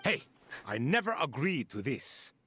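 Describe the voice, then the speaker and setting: A man voicing an excitable, grumbling old-timer guardian in a video game, theatrical and emphatic. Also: mid-range voice, a little slow, slight background noise. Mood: indignant.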